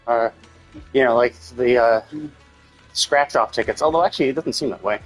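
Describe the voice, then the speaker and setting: A man's voice: mid-range voice, normal speed, faint music behind, rough recording. A man streaming a game live with casual commentary, thinking aloud tentatively and correcting himself as he goes.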